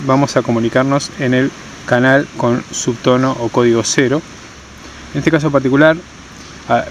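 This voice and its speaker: A man's voice: medium pitch, slight background noise, low-quality recording. A man giving a hands-on tutorial outdoors, explaining step by step and repeating key terms. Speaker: tutorial presenter. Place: outdoors on stony ground.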